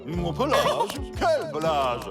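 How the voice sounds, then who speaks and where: Proud male cartoon dog, gruff and easily offended, very animated delivery, music underneath. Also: medium pitch, slow pace.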